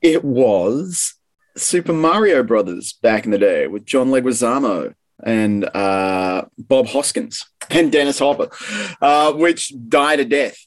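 A man's voice: medium pitch, expressive, slow pace. Opinionated male critic in casual interview. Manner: informal, opinionated, explanatory. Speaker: film critic guest.

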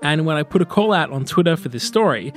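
A man's voice: fairly high pitch, animated, quick pace, faint music behind. A man presenting as host, moving between scripted narration and interview questions.

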